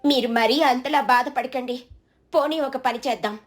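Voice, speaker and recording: A woman plays a gentle, devoted wife in a moral story, with a very high voice. She coaxes and reassures her husband. Quiet music runs underneath. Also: moderate pace, lightly acted. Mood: concerned, coaxing. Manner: reassuring, coaxing.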